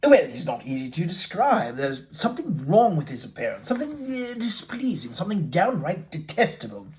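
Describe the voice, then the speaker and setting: A man voicing a talkative, candid gentleman who tells an odd tale with emphatic, exclamatory delivery in a storybook reading. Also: a little high, very animated, moderate pace. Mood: puzzled, earnest.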